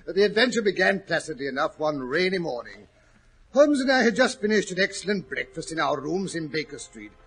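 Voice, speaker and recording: An actor playing a genial, easily puzzled older English gentleman doctor in a 1940s radio drama, chatty and a little hesitant. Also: a little high, big pitch swings, a little fast, full character voice. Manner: hesitant, chatty, old-fashioned exclamations. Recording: muffled, rough 1940s radio recording.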